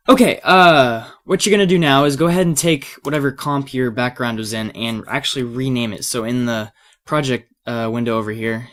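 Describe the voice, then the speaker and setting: A man with a cold and a slightly raspy voice gives a casual, unscripted software tutorial, hesitating and thinking aloud as he works. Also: medium pitch, slight background noise. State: has a cold. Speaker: tutorial presenter.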